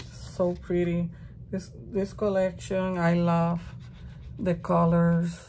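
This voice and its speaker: Raspy-voiced woman with a cold. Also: mid-range voice, a little mellow. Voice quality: rough, raspy voice. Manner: slow, chatty, thinking aloud while working. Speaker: crafting channel host. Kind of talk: casual craft tutorial talk.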